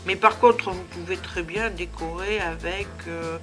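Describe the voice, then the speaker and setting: A woman's voice: lowish voice, steady tone, a little slow, over music. A woman with a cold hosting a casual crafting tutorial at home, chatty and full of hesitations. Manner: chatty, many hesitations and fillers. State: has a head cold.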